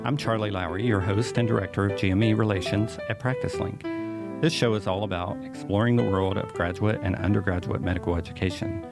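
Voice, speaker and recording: A man hosting a podcast, reading a measured, upbeat introduction and then asking interview questions. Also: fairly low pitch, fairly even intonation, over loud music. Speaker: podcast host.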